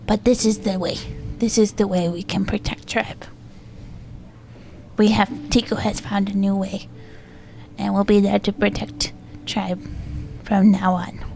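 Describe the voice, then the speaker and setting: A woman who is a player in a tabletop roleplaying game, improvising casually in character with halting, simple, repeated phrases. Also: medium pitch, little pitch movement, gravelly, warm tone. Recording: music behind the voice.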